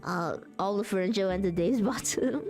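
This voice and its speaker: A woman livestreaming relaxed crafting chat, rambling, losing her thread and laughing often. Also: medium pitch, normal speed, soft background music. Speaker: streamer.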